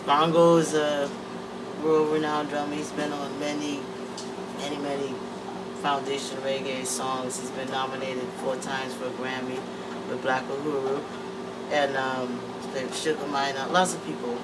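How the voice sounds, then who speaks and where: A woman's voice: a bass voice, little pitch movement, moderate pace. A woman, speaking as an interview guest in easygoing, hesitant casual talk with many fillers, in a music production room. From Trinidad and Tobago. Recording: distant mic with air-conditioning hum.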